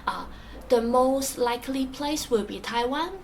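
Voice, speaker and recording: A young woman from Hong Kong, a native Cantonese speaker with a Hong Kong accent, telling her own story in answer to questions, soft-spoken and hesitant with many fillers and restarts. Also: fairly high pitch, a little slow, a little hiss or hum. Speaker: interviewee.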